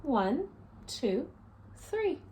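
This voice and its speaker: A woman in her thirties speaking as a teacher to young children, reading a picture book and explaining it simply in a deliberate, instructive way. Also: high-pitched, very animated, a little mellow, a little hiss or hum, rough recording. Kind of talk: expressive picture-book read-aloud and simple lesson for children. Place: small living room, indoors.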